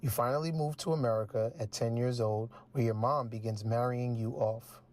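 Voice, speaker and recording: A man's voice: mid-range voice, normal speed, a little mellow. A man hosting an interview show, speaking in a presenter's style of narration and host talk.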